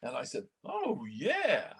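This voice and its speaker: An older American man with a husky voice, reminiscing casually as a veteran broadcaster in a halting, rambling, animated way. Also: high-pitched, no echo. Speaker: veteran radio broadcaster, guest. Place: remote video call.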